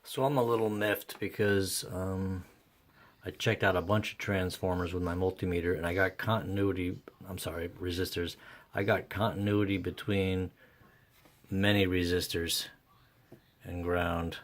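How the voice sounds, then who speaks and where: Low-voiced man with a flat delivery. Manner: halting, thinking aloud, mildly profane. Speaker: host of a radio repair video. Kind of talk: casual unscripted repair commentary.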